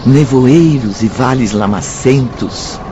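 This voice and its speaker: A man's voice: medium pitch, takes it slowly, natural voice. A man giving solemn scripted narration for a radio drama. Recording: dull audio with music behind.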